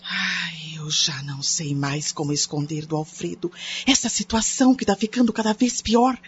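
Uma atriz brasileira de radionovela interpretando uma mãe preocupada e angustiada, que suplica entre suspiros. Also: female voice, lowish voice, moderate pace, slight background noise, lightly acted. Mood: anguished. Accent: Brazilian. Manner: anguished, sighing. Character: worried, distressed mother, anxiously pleading. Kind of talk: radio soap acting. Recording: dull, lo-fi radio drama recording.

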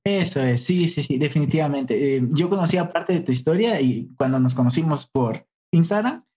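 A man with a Mexican accent hosting a casual interview in a colloquial, expressive way. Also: fairly high pitch, normal speed. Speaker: podcast host. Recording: dull, lo-fi online call audio.

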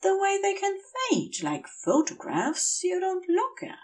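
Woman voicing a high, lilting, gentle male angel. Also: big pitch swings, a little slow, far from the mic, heavily acted. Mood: pleased, dreamy. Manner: polite, rambling, affectionate. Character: dazed, feverish, gentle, fussily polite male angel.

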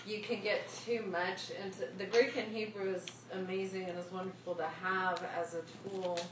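A woman's voice: mid-range voice, flat delivery, normal speed. A woman in a Bible study group giving her frank view in casual discussion. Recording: echoey room, heard from a distance with background noise.

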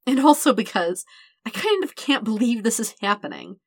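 A woman's voice: a little high, very animated, moderate pace, dry recording, studio quality, voice pushed up. A woman voicing a casual, amused and tender young man in love in a story, speaking plainly and informally. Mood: hesitant, disbelieving.

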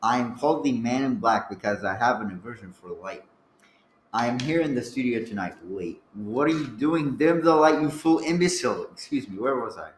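A man's voice: medium pitch, lively intonation, moderate pace, heavy background noise, natural voice. A man playing a theatrical, ominous horror host who introduces a radio play. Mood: irritated. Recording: echoey room with music.

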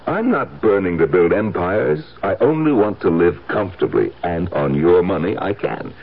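Gravelly, low-voiced cynical schemer. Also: male voice, very animated, moderate pace, full character voice. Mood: smug, taunting. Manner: sardonic, hesitating with dry asides. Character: cynical fortune-hunting husband, smooth-talking, lazy and aggrieved. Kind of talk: 1970s radio drama acting. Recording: tinny, hissy 1970s radio broadcast.

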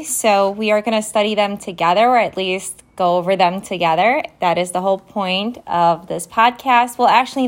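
A woman whose first language is Romanian talks through vocabulary words at an unhurried pace, spelling each one out carefully. Her pitch sits in a middle range, and she sounds casual and deliberate. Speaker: podcast host. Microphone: phone app recording.

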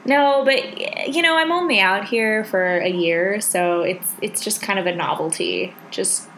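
A woman's voice: mid-range voice, unhurried, a bit bright, a little hiss or hum, low-quality recording. A woman from South Dakota co-hosting an arts podcast, chatting casually with lots of fillers and easy laughs. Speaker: podcast co-host. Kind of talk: casual chat.

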